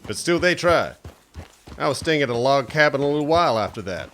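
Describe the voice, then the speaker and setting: An actor playing a boastful frontier hunter who spins folksy tall tales in a radio drama. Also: male voice, medium pitch, very animated, fairly quick, fairly bright tone.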